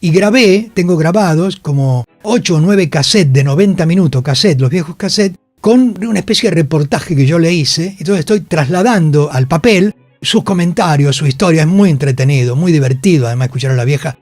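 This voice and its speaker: An older man from Buenos Aires, speaking with the local accent in a pitch a little above average. He reminisces conversationally, often checking that the listener follows. The sound is dry, with music playing underneath. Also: a little fast, darkish tone, no echo. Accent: Buenos Aires Spanish. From Buenos Aires, Argentina. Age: 70s. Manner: conversational, anecdotal, seeks confirmation from listener. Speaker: actor and producer, interview guest. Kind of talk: casual radio interview, reminiscing. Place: radio studio.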